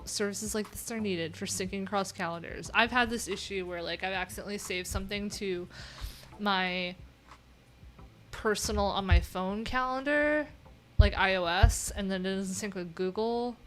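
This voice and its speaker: A woman's voice: medium pitch, fairly bright tone, a little hiss or hum. A woman livestream host chatting casually and reading things aloud, with wry, informal, rambling asides. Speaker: livestream host, web developer.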